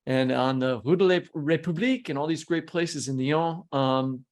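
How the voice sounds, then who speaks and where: Higher-pitched American man co-hosting. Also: moderate pace. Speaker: podcast co-host. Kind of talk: conversational podcast hosting.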